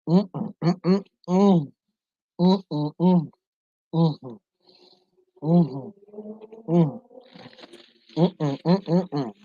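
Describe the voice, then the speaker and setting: A man's voice: fairly high pitch, dark tone, dry recording. A man with a Jamaican accent hosting a casual live discussion, joking and laughing often with the viewers. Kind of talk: casual live-stream chat. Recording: dull lo-fi sound.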